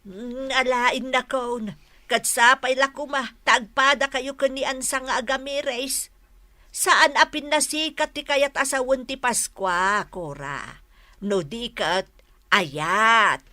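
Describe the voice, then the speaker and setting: A woman's voice: high-pitched, takes it slowly, bright, forceful tone, no room sound, full character voice. An actress with an Ilocano accent playing a motherly, well-meaning elder in a radio drama, coaxing and admonishing. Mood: gentle, coaxing. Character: motherly, well-meaning elder woman urging feuding neighbours to reconcile. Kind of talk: Philippine radio drama acting.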